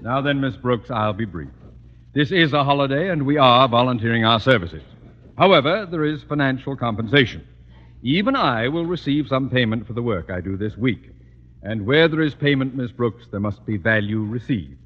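An American actor playing a pompous, stern middle-aged principal in 1950s radio comedy, with formal, clipped diction. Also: male voice, mid-range voice, lively intonation, normal speed, in a large hall, close to an everyday voice. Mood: stern, officious. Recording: muffled old radio broadcast recording.

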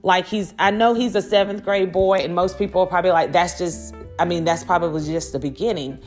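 A middle-aged woman hosting a solo podcast, talking conversationally and telling stories in a chatty, animated way with quoted asides. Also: lowish voice, a little fast, faint music behind. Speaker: podcast host.

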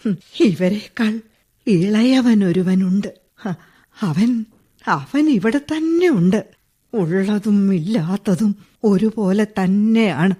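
Tired old bedridden mom in a radio play, husky, slow, bitter. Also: female voice, mid-range voice, expressive, warm tone. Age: older. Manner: resigned, tearful at times. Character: old bedridden widowed mother, weary and bitter. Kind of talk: radio drama acting.

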